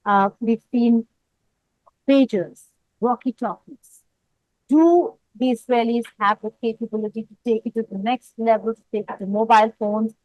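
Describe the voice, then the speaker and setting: A woman anchoring a news interview, putting long, deliberate questions to a guest with emphasis on key words. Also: a little high, darkish tone, no echo, rough recording.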